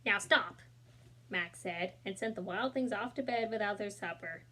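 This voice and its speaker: A woman reads a picture book to children at a steady, mid-pitched level. She brings expression to the characters' lines and stops to chat gently with young listeners between pages. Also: normal speed. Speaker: storybook reader. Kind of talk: children's read-aloud with asides.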